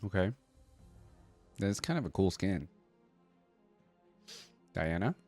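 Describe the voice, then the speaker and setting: A man's voice: deep voice, big pitch swings, takes it slowly, a little mellow. A man hosting a reaction video, commenting casually and musing aloud in an informal way. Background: faint music.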